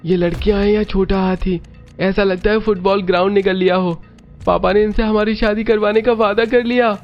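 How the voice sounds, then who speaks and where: Man voicing an excitable, high-pitched young son. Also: fairly quick, lo-fi sound, faint music behind. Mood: disgusted. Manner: exclaiming, dramatic. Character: excitable young son, indignant yet obedient. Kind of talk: dramatized family story voice acting.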